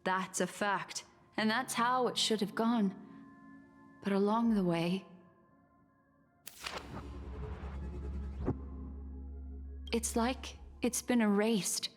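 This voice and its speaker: An actress playing a composed, observant mystic in a game, measured and poised. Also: female voice, medium pitch, lightly acted. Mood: puzzled. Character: composed, observant mystic woman reading memories. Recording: roomy reverb with soft music.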